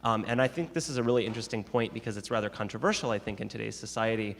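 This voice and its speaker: A man's voice: fairly low pitch, racing, vocal fry. A man co-hosting a lecture, asking the guest long, carefully framed questions. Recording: long hall reverb.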